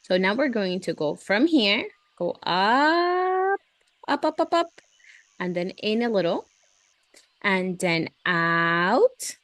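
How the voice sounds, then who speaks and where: A woman's voice: medium pitch, sing-song, takes it slowly, fairly bright tone, dry recording. A woman hosting a read-aloud and drawing lesson for children, chatty, gushing and encouraging, often breaking into exclamations.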